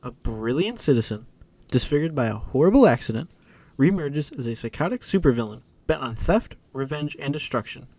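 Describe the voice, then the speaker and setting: Lively, brash young sidekick played by a man. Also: medium pitch, a little fast. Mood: wry. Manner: wisecracking, defensive. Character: brash, impulsive young male superhero sidekick. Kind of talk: audio drama acting.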